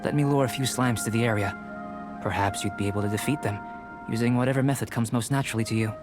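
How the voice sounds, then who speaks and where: A man voicing a calm, reserved young alchemist scholar in an anime game, speaking in a measured, precise way. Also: medium pitch, loud music behind the voice, close to an everyday voice. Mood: composed, instructive. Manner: measured, precise, composed, clinical.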